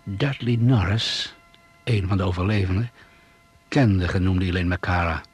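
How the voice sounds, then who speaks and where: Low-voiced, measured doctor and leader. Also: male voice, husky, full character voice. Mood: measured, concerned. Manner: measured, precise, formal. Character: composed male doctor leading jungle crash survivors. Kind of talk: radio drama acting, report-like account.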